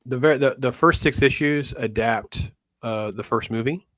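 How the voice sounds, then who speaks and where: A man's voice: mid-range voice, unhurried, a bit bright, no room sound. A middle-aged man chatting casually as a host on a livestream about comics and collecting.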